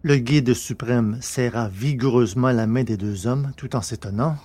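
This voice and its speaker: A male narrator reads a science fiction tale in a middle-range voice. His delivery is scripted, measured and literary, in the manner of an audiobook. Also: mid-range voice, a little mellow.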